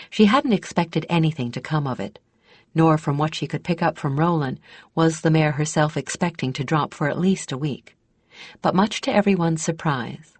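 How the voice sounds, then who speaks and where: A woman's voice: low-pitched, darkish tone, no echo. A woman reading scripted fantasy audiobook narration.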